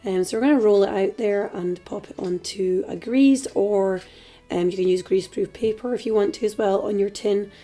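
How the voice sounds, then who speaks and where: A Scottish woman hosting a cooking tutorial in a chatty, relaxed way, her voice a little hoarse from a dry throat. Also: medium pitch, normal speed. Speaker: cooking channel host. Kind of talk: casual cooking tutorial voice-over.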